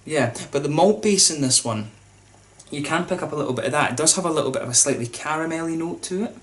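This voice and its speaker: A man's voice: a little high, fast talker. A man, chatting casually to camera as he reviews a beer, with lots of fillers and restarts. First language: English.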